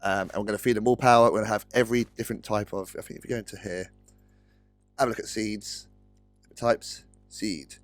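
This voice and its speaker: A British man with a slightly croaky voice, rambling casually through gameplay commentary and correcting himself as he goes. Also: lowish voice, steady tone, fairly quick, dry recording. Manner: rambling, self-correcting, chatty. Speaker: Let's Play host. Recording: dry close-mic recording with faint music.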